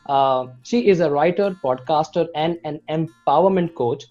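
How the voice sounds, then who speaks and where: A man hosts an interview show, opening with formal, scripted introductions before questioning his guest. The audio is dull and lo-fi. Soft guitar music plays faintly underneath. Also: fairly high pitch, normal speed. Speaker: host, video creation coach.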